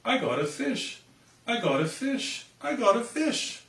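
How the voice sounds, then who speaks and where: A man voicing an earnest, excitable little girl in an animated children's storybook reading. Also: very high-pitched, unhurried, voice pushed up, heavily acted. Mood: excited. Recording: roomy, distant microphone.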